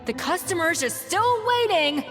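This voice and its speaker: Exacting young female chef for a game: high, brusque, secretly kind, music underneath. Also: lively intonation, in a large hall. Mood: scolding. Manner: sharp, commanding, brisk. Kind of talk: video game voice acting.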